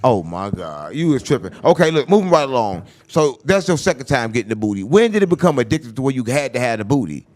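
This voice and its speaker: A man hosting a casual interview, asking teasing, incredulous questions and breaking into loud exclamations. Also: mid-range voice, sing-song, fast, hardly any pauses. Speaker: interviewer, host.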